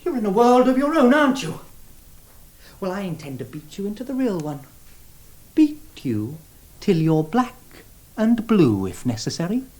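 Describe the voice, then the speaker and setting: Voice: mid-range voice, sing-song, normal speed, pauses often, darkish tone, lightly acted. An actor playing a haughty, taunting public-school bully in a period radio drama, with an English accent. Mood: menacing.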